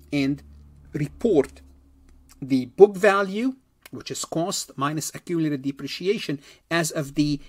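A man's voice: a little high, breathy edge, dry recording. A man giving an instructional lecture step by step, repeating phrases and answering his own questions. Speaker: accounting lecturer.

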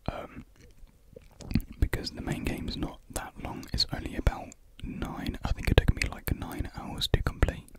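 A young British man whispering a casual, hesitant ASMR ramble in a breathy voice, very close to a desktop microphone. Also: fairly high pitch. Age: young adult. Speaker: ASMR video creator. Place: small indoor room at home. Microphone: large USB desktop mic, very close.

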